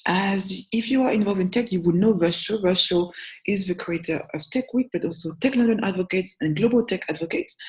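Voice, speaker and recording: A woman's voice: on the low side, darkish tone, rough recording. A young adult woman with a French accent, a brand strategist giving chatty, upbeat business advice to camera, often correcting herself and checking in with the viewer. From France. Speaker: brand strategist and agency founder. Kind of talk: talking-head business advice video.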